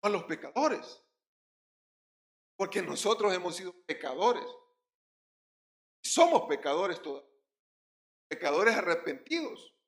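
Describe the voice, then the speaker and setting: A male pastor with a Puerto Rican accent preaching a sermon, swinging between loud stressed words and quiet drops. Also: sits high, big pitch swings, a little slow, long pauses, echoey room.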